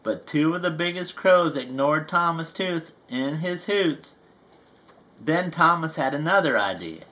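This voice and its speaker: Man, children's storybook narrator, playful asides, slow, bright. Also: a little high, lively intonation. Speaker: storybook reader.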